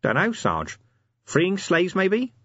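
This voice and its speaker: A man performs a cheeky, scheming watchman from a fantasy storybook. He rambles eagerly in slangy speech, pitched up and lively, with a slightly rough edge. The sound is dry and a little dull. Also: slow, no room sound, exaggerated voice. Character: Nobby, scheming cheeky low-ranking city watchman.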